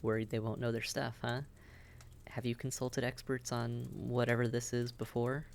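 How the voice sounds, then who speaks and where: A man's voice: medium pitch, completely flat delivery, normal speed, gravelly, a little hiss or hum, close to an everyday voice. A man playing a friendly, worldly vampire innkeeper who chats and gives reassuring advice straight to the listener in a roleplay audio drama. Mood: encouraging. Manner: chatty, reassuring, advising.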